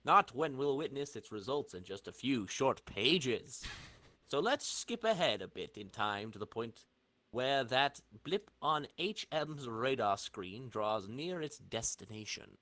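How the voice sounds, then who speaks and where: Breezy comic-book narrator voiced by a man, wry, lively intonation, dry close recording. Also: mid-range voice, unhurried, dry recording.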